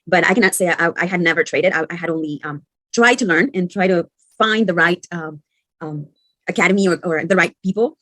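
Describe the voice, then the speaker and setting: A woman from Mexico introducing herself on a video call, halting and repeating words. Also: lowish voice, a bit bright, no room sound. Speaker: course participant.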